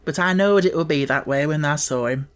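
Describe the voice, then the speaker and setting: A man's voice: on the high side, a little fast, close to an everyday voice. A man voicing a cheerful, plain-spoken young Yorkshire housemaid in an audiobook, chatting in broad, homely dialect. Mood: fond, chatty.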